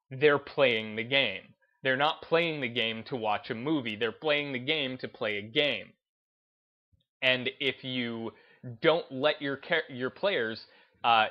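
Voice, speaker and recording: A man giving off-the-cuff opinion commentary on a gaming channel, speaking in halting, emphatic short bursts. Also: mid-range voice, muffled recording.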